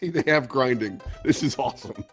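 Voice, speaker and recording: A man's voice: medium pitch, expressive, normal speed, slightly rough. A man making sarcastic, mildly crude jokes in casual banter as a livestream co-host. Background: music playing faintly.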